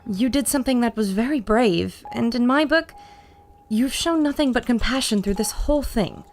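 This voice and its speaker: A woman's voice: a little high, normal speed, slight background noise, lightly acted. A woman playing a plucky, caring reporter in an old-time radio adventure serial, speaking earnestly. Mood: reassuring.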